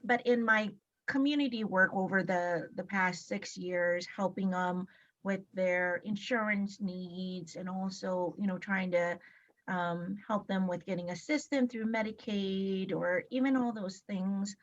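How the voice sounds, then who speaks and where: A woman's voice: medium pitch, steady tone, a little slow. Hesitant woman on a muffled video call. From Laos. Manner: hesitant, many ums and restarts. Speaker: panelist. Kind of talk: webinar panel talk.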